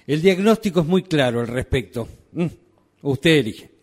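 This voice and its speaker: A man's voice: a little high, animated, moderate pace. A man acting a supporting character in a comic radio drama. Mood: firm. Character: firm, matter-of-fact doctor, or chummy old friend.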